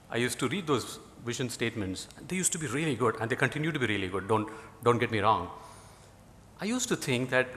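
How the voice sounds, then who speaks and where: Bright-voiced Indian man in a reverberant hall. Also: medium pitch, a little hiss or hum. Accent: Indian English. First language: Tamil. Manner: rambling, many "you know" fillers, dry humor. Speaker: guest speaker. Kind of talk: conference talk.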